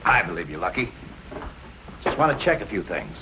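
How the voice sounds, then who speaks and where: A man playing a coolly polite, probing police captain in 1940s crime film style, questioning in measured tones. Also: low-pitched, big pitch swings. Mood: businesslike, probing. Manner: measured, courteous, persistent questioning. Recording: noisy old 1940s film soundtrack.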